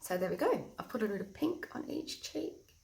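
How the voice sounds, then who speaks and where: A woman with a loud, husky voice, chatting through a casual makeup tutorial early in the morning before her coffee. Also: mid-range voice, sing-song, moderate pace. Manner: loud, chatty, talks through each step. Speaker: makeup vlogger. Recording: echoey room.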